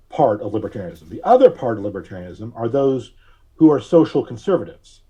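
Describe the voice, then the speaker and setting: A man giving measured, carefully worded explanations as a podcast guest. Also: medium pitch, mellow. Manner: measured, deliberate, precise wording. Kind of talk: explanatory interview talk.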